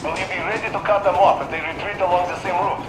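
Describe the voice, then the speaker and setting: A man's voice: sits high, fairly even intonation, fast talker. A man playing a terse, businesslike soldier talking over military radio in a video game. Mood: terse, alert. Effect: radio transmission. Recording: very noisy, echoey field radio.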